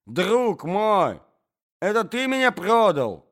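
A man's voice: sits high, very animated, slow, a bit bright, pitched above the performer's own voice, exaggerated voice. A man voicing a frail, forgetful old prince who talks in a muddled, bewildered way.

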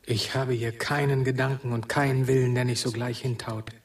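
A man's voice: mid-range voice, little pitch movement, moderate pace, slight background noise, natural voice. An actor playing a melancholy, questioning male wanderer in a radio drama, speaking in brooding, poetic phrases. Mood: bleak, observing. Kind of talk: German radio drama acting. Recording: somewhat distant from microphone.

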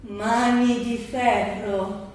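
An actress plays an intense woman in surreal theatre. She declaims in an extremely drawn-out chant, her fairly high voice ringing from a distance in a very echoey space. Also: steady tone, a little mellow. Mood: intense, incantatory.

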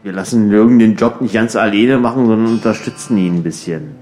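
A man's voice: fairly low pitch, brisk, a little mellow, soft background music. A man chatting informally and encouragingly in a comedy sketch dialogue. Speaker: member of a comic radio play trio.

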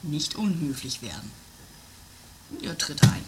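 A woman playing a polite, measured companion in an absurd comedy radio play, breathy and a little hesitant, over music. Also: sits low, sing-song, very noisy, exaggerated voice. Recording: loud music under the voice.

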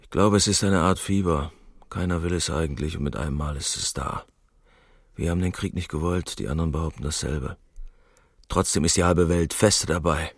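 An actor playing a calm, worldly-wise veteran soldier in a German radio drama, reasoning in a measured, reflective way. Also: male voice, rumbling low, dry recording, studio quality.

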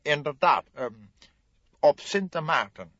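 A man's voice: fairly high pitch, expressive, slow pace, a bit bright, no room sound, dull audio, pitched above the performer's own voice, exaggerated voice. A man voicing a stout, indignant notary in an audiobook, flustered and stammering with pompous formal wording. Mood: hesitant, formal. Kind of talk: audiobook character voice.

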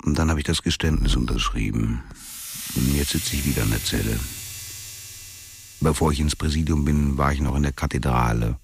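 Gravelly, very deep-voiced bitter convict. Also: male voice, a little fast, a little hiss or hum. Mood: grim, weary. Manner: coarse, weary, cursing. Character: bitter dying male convict, coarse, frightened, confessing. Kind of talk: radio drama monologue.

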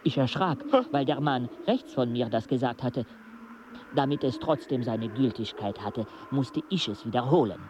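An actor playing a dazed expedition companion in a radio play, narrating and talking in a deadpan way. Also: male voice, medium pitch, normal speed, noisy background, close to an everyday voice. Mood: dazed, dry.

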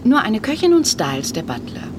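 An actress playing a self-assured, slightly vain crime novelist in a comic radio play, with an arch, dry and composed manner. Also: female voice, fairly high pitch, huge pitch swings, fairly quick, noisy background. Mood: confiding.

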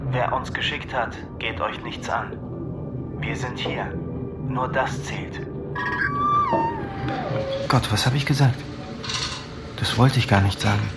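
A man's voice: medium pitch, slow pace, long pauses, over loud music. An actor in a radio drama playing an uneasy male avatar inside a dystopian virtual game. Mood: hushed, uneasy. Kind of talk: German experimental radio drama monologue.

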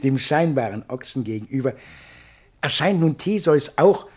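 Pompous, husky-voiced scholar. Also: male voice, mid-range voice, expressive, normal speed, darkish tone. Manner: pompous, florid lecturing. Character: pompous, long-winded male scholar showing off paintings to a prince. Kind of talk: German radio play acting.

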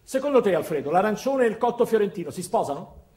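A man's voice: high voice, big pitch swings, quick pace, a little mellow. An actor, a man, voicing a chatty, overbearing sister who is insistently cheerful and gushing with her brother. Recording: roomy, echoing theatre sound.

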